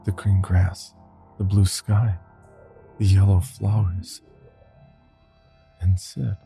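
A man reading a scripted first-person horror story in a slow, deliberate, somber way. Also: low voice, level tone, vocal fry, warm tone, faint music behind.

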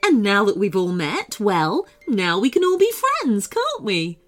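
A woman voicing a loud, bossy, cheerful beach-going woman in a storybook, booming and emphatic. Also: wildly swooping pitch, measured pace, soft background music.

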